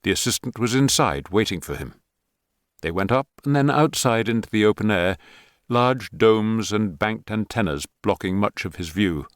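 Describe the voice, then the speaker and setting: A man narrating a thriller audiobook in a measured, even reading on a close studio mic. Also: lowish voice, no room sound.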